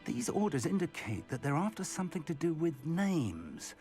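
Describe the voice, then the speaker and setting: An actor playing a thoughtful, inquisitive wizard mentor who helps a student along. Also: male voice, on the high side, big pitch swings, moderate pace, mellow, faint music behind. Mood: curious.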